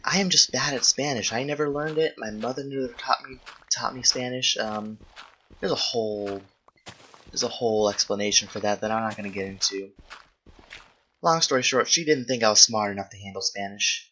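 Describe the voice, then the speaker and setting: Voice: mid-range voice, moderate pace, bright, forceful tone, a little hiss or hum, poor audio. A young adult speaker chatting casually as a video host, rambling, thinking aloud and often self-correcting. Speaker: video host answering viewer questions while gaming. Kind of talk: casual rambling gaming chat.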